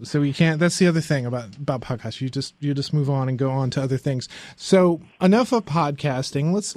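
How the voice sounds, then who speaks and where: A man hosting a podcast in casual conversation, halting and often correcting himself as he rambles along. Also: a little high, darkish tone, dry recording. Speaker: podcast host.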